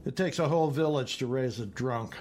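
A man's voice: mid-range voice, brisk, a little mellow, a little hiss or hum, natural voice. A man reading a short story, voicing a sardonic, unimpressed young man with a dry, wry manner. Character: lean young son, sardonic, unimpressed writer.

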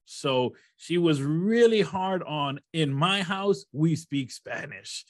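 A man from Rochester, New York, hosting a podcast and asking measured, reflective questions. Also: a little high, lively intonation, no room sound, clean studio sound. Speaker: podcast host. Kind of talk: interview questions.

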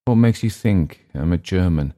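Guy doing a bossy world-weary old hand of the jungle, deep voice, scornful and teasing, audiobook story. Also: expressive, normal speed, mellow. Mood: menacing. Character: domineering, mocking older male jungle settler, world-weary. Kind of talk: audiobook character dialogue.

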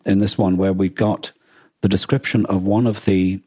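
A man co-hosting a podcast, explaining things in a casual, halting discussion as he thinks aloud. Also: sits low, level tone, dark tone, slightly rough, no room sound. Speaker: podcast co-host. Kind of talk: casual discussion of a radio drama.

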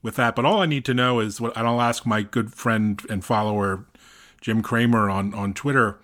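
A man's voice: lowish voice, steady tone, normal speed, a bit bright. A man co-hosting a podcast in casual banter, with a dry, joking manner. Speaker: co-host of an industry news podcast.

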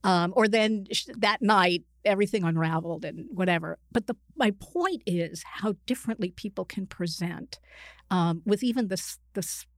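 An older woman, a psychiatrist guest speaking conversationally in an interview, halting and reflective, often qualifying herself mid-sentence. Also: mid-range voice, no echo, studio quality. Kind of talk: conversational interview, recounting clinical experience.

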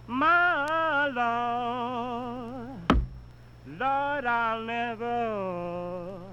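A woman singing a spiritual in a drawn-out style, on a dull music recording. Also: fairly high pitch. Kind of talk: slow sung spiritual.

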